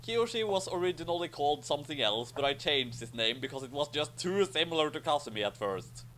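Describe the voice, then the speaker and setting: A man's voice: fairly high pitch, lively intonation, fairly quick, a bit bright, a little hiss or hum. A man giving casual commentary as the author of a story.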